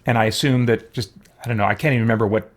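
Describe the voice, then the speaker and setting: A man's voice: mid-range voice, fairly even intonation, very fast. A man casually commentating a roleplay livestream of a video game, rambling and breaking off his sentences as he goes. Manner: rambling, self-interrupting, hesitant phrasing. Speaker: game streamer.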